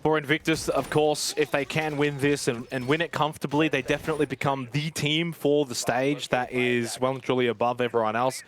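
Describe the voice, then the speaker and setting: A man's voice: medium pitch, level tone, a little fast, hardly any pauses, fairly bright tone. A man giving live commentary and analysis on an esports match.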